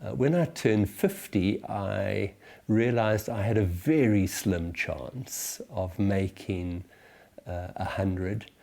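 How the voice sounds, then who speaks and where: A man in his sixties with a South African accent, giving a reflective church teaching to small groups in a measured, deliberate way, often pausing and hesitating. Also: lowish voice, creaky, dark tone. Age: 60s. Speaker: church teacher leading a series.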